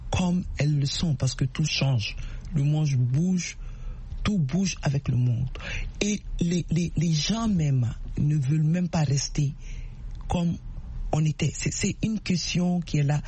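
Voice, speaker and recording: A woman from Africa, giving her opinion spontaneously as a radio caller in a husky voice. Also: deep voice, dark tone. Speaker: call-in radio guest.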